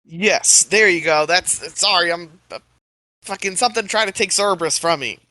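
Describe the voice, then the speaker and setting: A man's voice: sits high, normal speed, pushed and bright, dry recording. A man playing a tabletop game, talking casually in a rambling way with heavy swearing. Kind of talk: casual in-character play.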